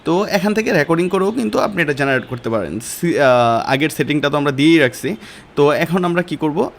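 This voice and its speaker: A man's voice: on the high side, lively intonation, fairly quick, vocal fry. Bangladeshi man giving an informal tutorial. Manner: informal colloquial speech. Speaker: online course instructor. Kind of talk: step-by-step software tutorial.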